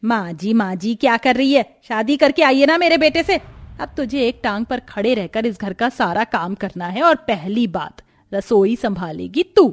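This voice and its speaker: A woman's voice: a little high, expressive, moderate pace. A woman voicing a domineering, scornful older mother-in-law in a dramatised moral story, scolding and taunting as she gives orders. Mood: commanding.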